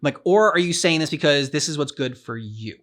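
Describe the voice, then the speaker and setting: Rambling man with a slightly high voice. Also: expressive, a little fast, a bit bright. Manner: rambling, repeats and restarts phrases. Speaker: co-host of a video game reaction show. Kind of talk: casual discussion of a game's story.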